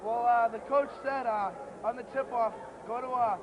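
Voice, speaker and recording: A man, a basketball player, answering a post-game question quietly and hesitantly. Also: extremely high pitch, steady tone, normal speed, highs cut off. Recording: distant, noisy outdoor recording.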